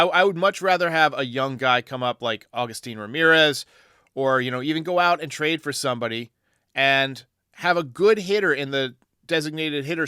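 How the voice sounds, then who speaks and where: Bright, emphatic man hosting a sports show. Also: mid-range voice, clean tone, no echo, clean studio sound. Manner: emphatic, argumentative. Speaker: podcast host answering fan voicemails. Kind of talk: casual opinionated sports talk.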